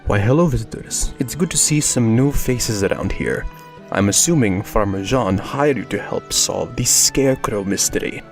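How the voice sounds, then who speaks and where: A man's voice: lowish voice, expressive, normal speed, soft background music. Roguish young orc captain, a man acting. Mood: charming. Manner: smooth, polite, confident. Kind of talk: audio drama fantasy acting.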